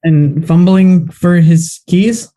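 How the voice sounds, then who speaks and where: An actor playing the deliberate, dramatic narrator of an old-time style detective radio play. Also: male voice, fairly high pitch, mellow. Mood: dramatic, suspenseful. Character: melodramatic detective-serial narrator.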